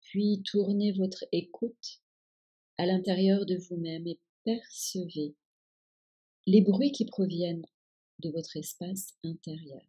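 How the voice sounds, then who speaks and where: Female meditation guide, low-pitched and very unhurried, calm and soothing delivery of relaxation instructions. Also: husky, highs cut off. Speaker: yoga nidra guide.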